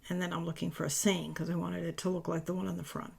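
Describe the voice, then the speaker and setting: A woman narrates a craft tutorial in a loose, chatty way, often correcting herself. Her voice is raspy and worn after a long day of talking. It has a heavy gravelly fry and a husky edge. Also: lowish voice, little pitch movement, fast talker, a little mellow. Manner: rambling, self-correcting, chatty. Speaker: papercraft tutorial host. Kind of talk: casual voice-over tutorial.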